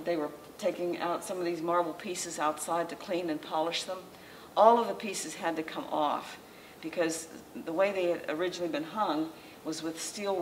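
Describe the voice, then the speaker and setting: Older woman lecturing from a distant mic. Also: on the low side. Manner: conversational, halting with frequent restarts and fillers. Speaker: local historical society president giving a lecture. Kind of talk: informal slideshow lecture. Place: library meeting room, indoors, small audience. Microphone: far camera, masked speaker. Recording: distant camera mic with faint room noise.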